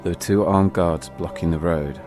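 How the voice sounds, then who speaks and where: A man narrating a dramatic first-person travel story in measured, suspenseful short phrases, as a storytelling podcast host. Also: sits low, steady tone, music in the background.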